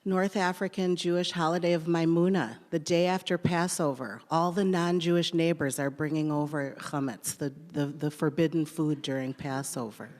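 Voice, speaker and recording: Lower-voiced woman panelist, direct. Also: steady tone, moderate pace, hardly any pauses, darkish tone. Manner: direct, dismissive of hypotheticals. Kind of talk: panel discussion. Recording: large hall with long reverb.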